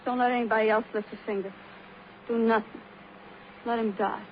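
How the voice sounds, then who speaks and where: A muffled vintage radio drama with faint noise. A frail, brittle American teenage girl acted by a woman talks in a high voice, flippant and dreamy, rambling with a defiant edge. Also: level tone, fairly quick, lightly acted. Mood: bitter, intense. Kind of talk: 1950s radio crime drama acting.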